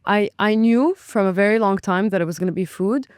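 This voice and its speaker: A young woman from Lebanon who owns a restaurant, talking as a guest in a chatty, animated way and acting out her own past thoughts. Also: mid-range voice, brisk, smooth, no echo, clean studio sound. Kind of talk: casual interview.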